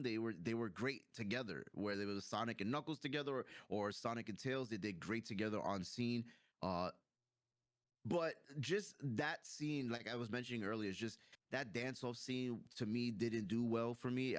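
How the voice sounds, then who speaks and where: A man's voice: mid-range voice, dry recording, clean studio sound. A man, probably in his thirties, chatting casually and enthusiastically as he hosts a movie review, using plenty of slang.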